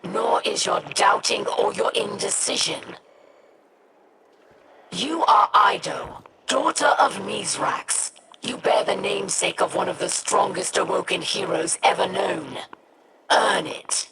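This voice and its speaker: An actress playing a stern, proud alien warrior in a video game, speaking formally with gravelly, cutting deliberation. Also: female voice, mid-range voice, animated, slow pace, very noisy. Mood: harsh, commanding. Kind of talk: video game cutscene acting. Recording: loud music underneath.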